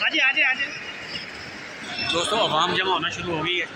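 A man with a Pakistani accent chatting casually to camera as a family vlogger, animated and repeating his words. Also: high-pitched, normal speed. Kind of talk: casual vlog chat to camera. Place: large busy indoor shopping mall. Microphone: phone or camera at arm's length. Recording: noisy crowded mall, loud chatter behind.

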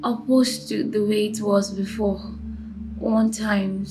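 A troubled, stubborn Nigerian woman in a film drama. She speaks slowly and sounds guarded. Loud music plays behind her lines. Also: medium pitch, steady tone, rough recording. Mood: troubled, uneasy. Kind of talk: screen drama dialogue. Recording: loud background music bed.

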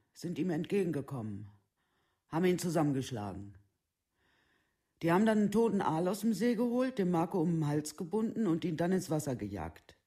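An actor playing an aggrieved, protective parent in a documentary radio drama, speaking in terse colloquial fragments. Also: male voice, fairly high pitch, darkish tone. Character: aggrieved, protective parent recounting a son's humiliation.

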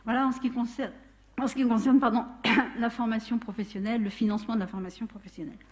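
A woman's voice: a little high, fairly even intonation, quick pace. A middle-aged woman gives a conference talk at a lectern in a large darkened hall, explaining step by step and often restarting her sentences. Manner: explanatory, with frequent restarts and self-corrections. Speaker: training consultant speaking at a trade fair. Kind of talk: conference presentation.

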